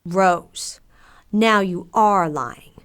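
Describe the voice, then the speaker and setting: A woman playing a mother and nun in an audio drama, with a deliberate, dramatic delivery. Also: medium pitch, fairly bright tone, clean tone. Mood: scolding, doubtful. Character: stern, busy mother, later a reflective nun.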